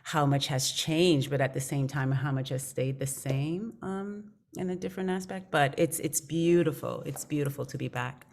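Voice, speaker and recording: A woman, a stage actor, reminiscing casually as a panel guest in an appreciative, halting way. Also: low voice, darkish tone.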